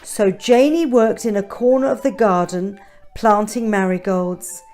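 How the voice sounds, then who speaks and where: A woman's voice: mid-range voice, measured pace, darkish tone, soft background music. A woman reading a children's story aloud, expressively, with chatty asides and questions to young listeners.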